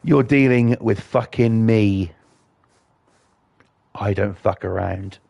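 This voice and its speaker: A man hosting casual gameplay commentary, blunt and defiant, his voice croaky from a cold. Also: lowish voice, takes it slowly, mellow, no room sound. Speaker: gaming channel host.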